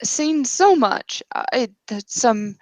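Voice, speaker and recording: A woman playing a distressed, confused mortal woman in a roleplaying game, speaking haltingly with long pauses. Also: on the high side, lively intonation, a little mellow, dry recording, pitched above the performer's own voice. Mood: distressed, pleading.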